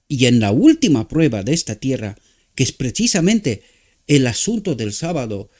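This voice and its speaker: Romanian man preaching with lively pitch. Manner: halting, searching for words, frequent rhetorical "¿verdad?".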